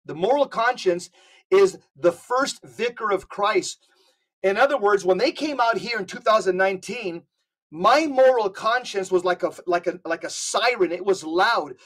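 High-voiced man exhorting listeners. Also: fairly bright tone. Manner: urgent, exhorting, rapid lists. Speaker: podcast guest. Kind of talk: casual podcast talk.

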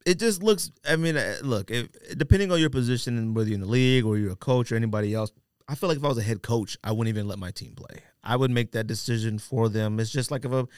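A man's voice: mid-range voice, fast talker, smooth, no echo, clean studio sound. An American man chatting casually about sports as a podcast co-host, emphatic and prone to repeating himself.

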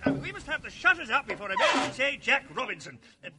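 An actor playing a jolly, bustling old master in a family radio drama, calling out heartily. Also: male voice, extremely high pitch, very animated, quick pace.

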